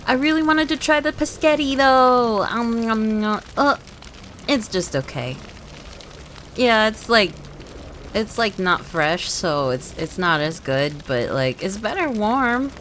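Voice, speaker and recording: A woman's voice: on the high side, lively intonation, slow, a bit bright, slight background noise. A woman playing a doting werewolf girlfriend in a comedic ASMR roleplay, gushing in baby talk with pet names. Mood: dazed, comic. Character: doting, sugary werewolf girlfriend home tired from work.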